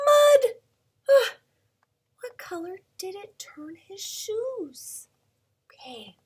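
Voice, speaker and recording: A woman who is a preschool teacher, reading a picture book aloud to young children at home in a playful, expressive way, asking questions and sometimes whispering. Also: extremely high pitch.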